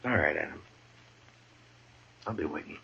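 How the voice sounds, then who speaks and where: An actor playing a tough, weary, terse frontier marshal with an American accent in a 1950s radio western. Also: male voice, a bass voice, expressive, normal speed, vocal fry, bright, forceful tone, slightly rough, heavily acted. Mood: cold, defiant. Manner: terse, commanding, sardonic. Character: tough, weary Old West frontier marshal, dryly wry. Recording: dull 1950s radio broadcast recording.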